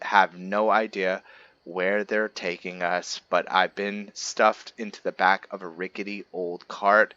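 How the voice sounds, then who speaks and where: A man with a fairly low voice talks through a roleplay game as its host. His delivery is halting, with stumbled words and fresh starts. The recording is close and very dry, with a slightly dull top end. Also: pushed and bright, no room sound. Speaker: gaming channel host. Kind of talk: roleplay gameplay commentary and reading aloud.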